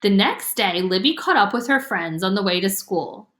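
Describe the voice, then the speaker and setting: A woman reading a children's storybook aloud as an expressive narrator. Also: mid-range voice, moderate pace. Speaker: storybook reader. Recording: roomy, echoing room sound.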